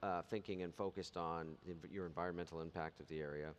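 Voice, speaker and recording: A man's voice: sits low, fairly even intonation, quick pace. A male interviewer who asks questions and prompts with short backchannels. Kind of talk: conference interview. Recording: long hall reverb with faint noise.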